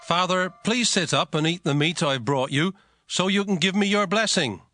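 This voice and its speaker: A man voices a wronged elder son in a dramatized scripture reading. His voice is high and bright, recorded close and dry with faint music, and he sounds pleading and aggrieved. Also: normal speed, dry recording. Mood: eager. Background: background music.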